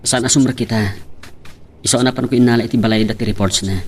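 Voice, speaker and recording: An actor playing a friendly, attentive young office colleague in a radio drama. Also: male voice, medium pitch, steady tone, fast talker, close to an everyday voice.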